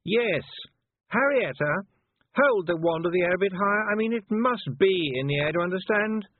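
A man's voice: sits high, lively intonation, normal speed, no echo, voice pushed up. A man voicing a bossy, haughty, impatient teenage girl witch in a storybook reading, scolding and condescending. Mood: exasperated scolding. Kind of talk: audiobook character voice. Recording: muffled narrow-band phone-like sound.

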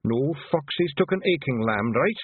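Frantic Scottish pixie man, shrill, gabbling, loud, husky. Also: lively intonation, unhurried, dry recording. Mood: panicky. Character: small frantic male pixie chief, shrieking. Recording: tinny, phone-like lo-fi audio.

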